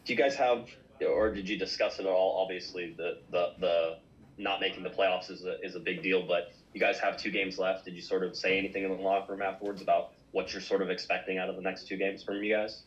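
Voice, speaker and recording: A man's voice: deep voice, steady tone, fairly quick, muffled recording, slight background noise. A man, a sports reporter, asking polite, loosely phrased questions with fillers at a press conference.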